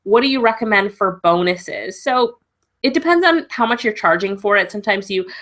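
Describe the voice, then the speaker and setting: A woman's voice: medium pitch, expressive, normal speed, fairly bright tone. A woman with a cold and a stuffy nose hosting a live webinar Q and A as a business coach, chatty and encouraging, laughing often. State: congested with a cold.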